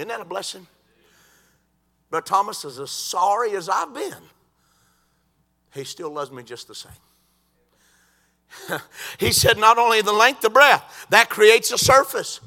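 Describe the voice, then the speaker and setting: A man preaching a sermon in a church, swinging from quiet talk to shouted crescendos. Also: high-pitched.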